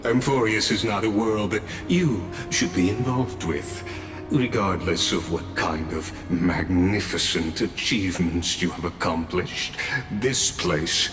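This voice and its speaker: An actor voicing a formal, calculating robot gatekeeper in a video game, measured and unfailingly polite. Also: male voice, deep voice. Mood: polite, firm. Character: courteous, calculating male robot watcher, long-lived gatekeeper. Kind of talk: scripted video game voice acting. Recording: echoing voice over clear background music.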